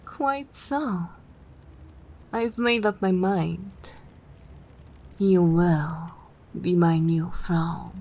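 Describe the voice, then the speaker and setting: Woman doing a seductive vampire mistress for asmr, really slow talking, theatrical, teasing, sure of herself. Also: fairly low pitch, darkish tone, exaggerated voice. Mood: imperious. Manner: teasing, self-assured, theatrical. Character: haughty, seductive vampire mistress seeking a human thrall. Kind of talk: ASMR roleplay acting.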